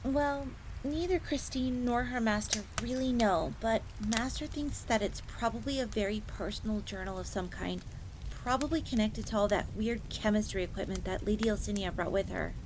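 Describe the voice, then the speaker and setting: A chatty, deferential fox maid, acted by a woman for a fantasy audio roleplay. Her voice sits fairly high and she explains things informally, calling herself by name. A clear crackling fire noise runs behind her. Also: normal speed, lightly acted. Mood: chatty, explanatory. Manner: refers to self in third person, informal, explanatory.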